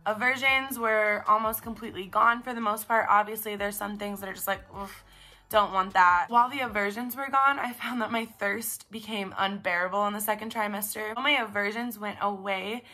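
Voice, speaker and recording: A young woman with a raspy, congested voice, chatting casually in a vlog. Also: medium pitch, steady tone, fairly quick, pushed and bright, soft background music. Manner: chatty, upbeat, filler-heavy. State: congested, stuffed up. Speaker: vlogger. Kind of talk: casual pregnancy recap vlog.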